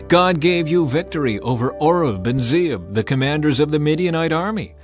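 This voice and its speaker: Man acting stern, forceful ancient war leader. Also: a little high, expressive, normal speed, faint music behind, natural voice. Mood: placating. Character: bold male Israelite war leader, stern and commanding.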